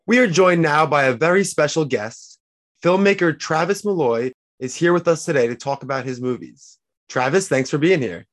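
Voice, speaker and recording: A man's voice: fairly high pitch, moderate pace, dry recording, studio quality. A man hosting a podcast, introducing guests and interviewing them. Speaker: podcast host.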